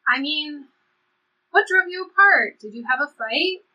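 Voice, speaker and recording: A woman's voice: high voice, expressive, measured pace, pushed and bright, exaggerated voice, low-quality recording. A woman playing a blunt, impulsive seventeen-year-old girl in a stage play reading. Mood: eager, curious. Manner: blunt, impulsive teenage speech.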